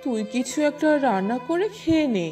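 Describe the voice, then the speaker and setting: A woman voicing a gentle, long-suffering elder wife in a cartoon fairy tale, speaking plaintively. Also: high voice, animated, normal speed, recorded from a distance, faint music behind. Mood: weary, pleading.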